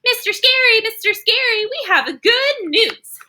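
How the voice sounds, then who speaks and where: A woman voicing an eager first-grade cheerleader girl from a storybook, in an excited, childlike sing-song. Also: extremely high pitch, normal speed, a little hiss or hum, voice pushed up, full character voice. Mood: excited, proud. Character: pair of eager, high-voiced first-grade cheerleader girls. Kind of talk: children's storybook read-aloud.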